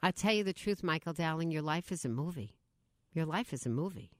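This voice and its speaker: A woman's voice: sits low, lively intonation, brisk, no echo, clean studio sound. A woman hosting a radio interview show, speaking with an enthusiastic, exclamatory manner.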